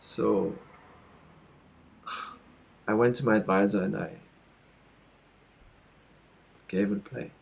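A young man performing a dramatic monologue as an anxious, guilt-ridden student, speaking haltingly and repeating his words. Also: fairly low pitch, fairly even intonation, gravelly, rough recording. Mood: defensive, pleading. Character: anxious, guilt-ridden student confiding about a troubled friend.

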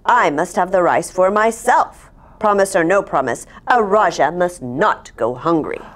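A woman's voice: lowish voice, expressive, unhurried. Storybook monarch read by a woman: male, self-important, selfish, grandly declaring. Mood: defiant, selfish. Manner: pompous, declaratory. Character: selfish, pompous male Indian king. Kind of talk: storybook character reading.

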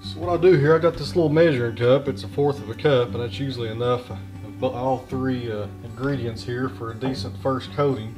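A relaxed man in his thirties talks casually about his day and his woodworking. His voice sits at an ordinary middle pitch, easygoing and plainspoken. He talks to a handheld camera outdoors in the woods and later in a small workshop. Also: fairly quick, over music. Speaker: woodworker and channel host.

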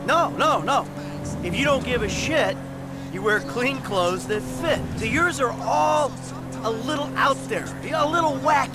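A middle-aged American career criminal in a video game, with a notably high voice. He is dry and sardonic, forever needling and arguing, over prominent music. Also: a little slow.

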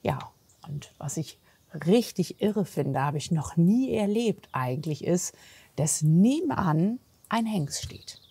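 A woman talking casually as a horse trainer, explaining things on the spot outdoors with a slightly husky voice. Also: on the low side, lively intonation, slow pace, dark tone, no echo. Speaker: horse physiotherapist and trainer, presenter. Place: outdoors at a horse yard and paddock.

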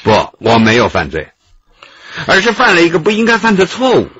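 An actor playing a glib, slippery art dealer under questioning, husky, wordy and wheedling, in a radio drama. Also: male voice, on the high side, wildly swooping pitch, fairly quick, a bit bright, no room sound, dull audio, heavily acted. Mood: pleading innocence. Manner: wordy, wheedling, self-justifying. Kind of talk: Chinese radio drama acting.

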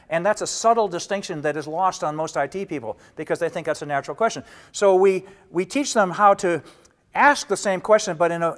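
Older man, fairly high voice, measured and explanatory, restarts phrases, lapel mic, studio. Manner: measured and explanatory, gesturing with both hands, frequent restarts. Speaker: data warehouse instructor. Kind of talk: explaining a training course to camera.

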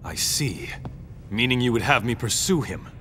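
An actor playing a brooding young warrior bent on revenge, speaking formally in a dramatic video game style. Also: male voice, mid-range voice, animated, moderate pace, a little hiss or hum, natural voice. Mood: guarded. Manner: formal, archaic phrasing. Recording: loud music behind the voice. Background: loud music.

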